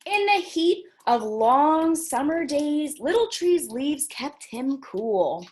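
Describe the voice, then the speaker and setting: Children's storytime librarian, female, slightly high voice, slow delivery, expressive and encouraging read-aloud. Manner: expressive, encouraging, addresses young listeners with questions. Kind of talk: reading picture books aloud to children.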